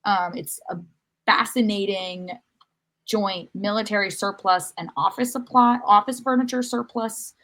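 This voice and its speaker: A woman's voice: mid-range voice, steady tone, unhurried, fairly bright tone. A woman co-hosting a sports podcast, chatting casually and telling rambling, wry stories. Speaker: podcast co-host.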